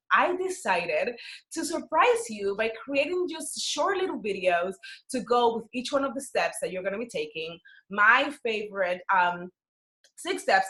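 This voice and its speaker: A woman with a Dominican Spanish accent speaks in a fairly high voice. She sounds like an excited coach, casual and emphatic as she motivates her listeners. The recording is noticeably echoey, with plenty of room sound around her words. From Dominican Republic. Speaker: business coach and course creator. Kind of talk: casual motivational talk to camera.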